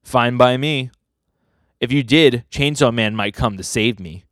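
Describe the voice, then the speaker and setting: A man's voice: medium pitch, measured pace, fairly bright tone, no room sound, studio quality, natural voice. A man voicing a smug, breezy male cult villain from a manga, with a taunting manner. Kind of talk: manga dialogue read aloud.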